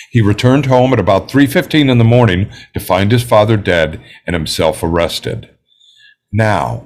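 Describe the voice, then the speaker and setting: Man reading low-voiced, methodical juror. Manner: measured, precise, enumerating. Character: composed, methodical, self-assured male juror. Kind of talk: script table read.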